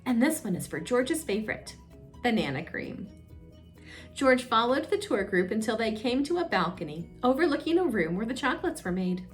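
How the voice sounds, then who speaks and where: A woman narrates a picture book for children in a fairly high, very animated voice, with music behind her. She draws out suspenseful phrases and reads with plenty of expression. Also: normal speed. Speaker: children's story time reader. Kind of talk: read-aloud children's storybook narration.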